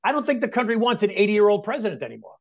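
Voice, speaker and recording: A man in his sixties talking excitedly and emphatically about markets and aging in a casual chat. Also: sits high, racing, vocal fry. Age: 60s. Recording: dull lo-fi sound.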